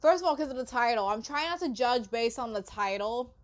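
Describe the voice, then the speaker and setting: Woman, high-pitched, very lively intonation, casual reaction commentary, exclamatory. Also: brisk. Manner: informal, exclamatory, apologetic asides. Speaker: reaction video host.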